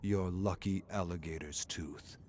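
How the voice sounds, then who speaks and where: A man plays a needling betting rival with a fairly low voice and a mocking, goading delivery. He is a sly trash-talker from a dramatic audiobook, heard over faint noise. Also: steady tone, measured pace, darkish tone. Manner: taunting, goading.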